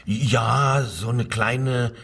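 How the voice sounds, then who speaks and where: Nervous submissive railway worker, slow delivery, hesitant, evasive, crime radio play, slightly off the mic. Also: male voice, mid-range voice, fairly even intonation, vocal fry, a bit bright, husky, far from the mic, exaggerated voice. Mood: cornered, hesitant. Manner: hesitant, deferential, defensive. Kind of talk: German crime radio drama acting.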